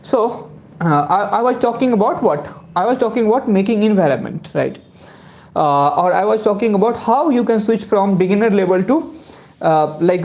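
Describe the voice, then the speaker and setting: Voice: mid-range voice, darkish tone. A spoken English teacher whose first language is Hindi, talking in an Indian English accent in an unscripted lesson to viewers. The speaker rambles and keeps tagging lines with "right?" and "you know".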